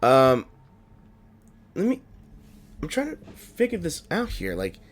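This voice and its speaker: A man's voice: a little high, huge pitch swings, slow pace, a little hiss or hum. A man giving casual gameplay commentary, muttering and thinking aloud as he plays. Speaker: gaming streamer. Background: game music.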